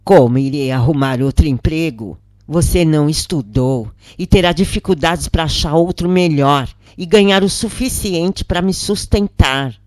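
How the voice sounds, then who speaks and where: A woman's voice: sits low, normal speed, few pauses, darkish tone, no echo, natural voice. A woman with a Brazilian accent voicing a worried, complaining old mother in an audio story. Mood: anxious complaint. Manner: plaintive.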